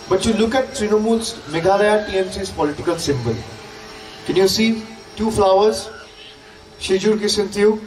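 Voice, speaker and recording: A man with an Indian accent giving an emphatic, repetitive political stump speech at a public rally. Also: high-pitched. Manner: emphatic, repetitive, with rhetorical questions. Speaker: political campaign speaker. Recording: distant, echoey, noisy public-address sound.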